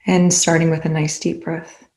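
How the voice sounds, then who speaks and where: A woman leading a guided meditation in slow, measured phrases with pauses between them. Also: sits low, flat delivery, mellow.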